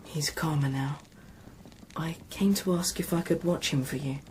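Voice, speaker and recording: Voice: mid-range voice, normal speed, a little hiss or hum, natural voice. An actor playing an earnest, anxious young boy fleeing war in a radio drama. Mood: subdued, concerned. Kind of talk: BBC radio drama acting.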